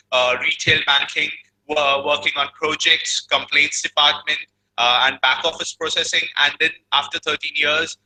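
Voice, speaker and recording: A man's voice, fairly high and very bright, opens and hosts a webinar. He speaks formally and drops in uh now and then. A faint hum runs underneath. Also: poor audio. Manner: formal introductions with uh fillers. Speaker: webinar host. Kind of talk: hosting a webinar interview.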